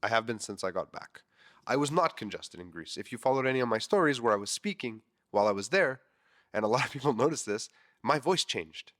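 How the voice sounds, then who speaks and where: A man's voice: medium pitch, lively intonation, a little fast, fairly bright tone. A man in his thirties with a congested, nasal voice, hosting his podcast in a candid, rambling monologue that swears freely. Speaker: podcast host. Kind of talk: casual monologue.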